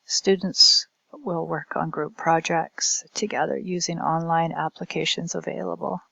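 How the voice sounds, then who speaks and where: A woman giving a recorded academic presentation as a language instructor, speaking in a halting, hesitant way. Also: deep voice, fairly even intonation, vocal fry, pushed and bright, slightly rough, no echo, lo-fi sound.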